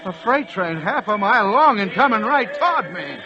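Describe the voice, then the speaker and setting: A man playing a timid, excitable, polite fellow who is flustered and stammers, in 1940s radio acting style. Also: high voice, moderate pace, music in the background. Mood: flustered, apologetic. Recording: dull, noisy vintage radio recording.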